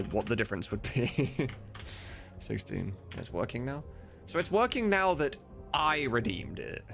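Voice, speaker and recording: A man's voice: medium pitch, a bit bright. A man livestreaming as he works, chatting casually with viewers and muttering to himself. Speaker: livestreamer and game modder. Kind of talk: casual chat while working.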